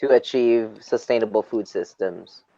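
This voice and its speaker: A man hosts a webinar with a relaxed, friendly ease, reading out audience questions. The sound is dry and dull, like compressed call audio. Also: fairly low pitch, moderate pace, dry recording. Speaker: moderator. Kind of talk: webinar moderation. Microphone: video call.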